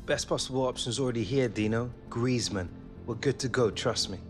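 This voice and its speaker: An actor playing a confident, courteous young professional footballer in a game cutscene. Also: male voice, medium pitch, brisk, music in the background, natural voice. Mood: casual, assured. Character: confident, courteous eighteen-year-old male professional footballer. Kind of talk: video game cutscene acting.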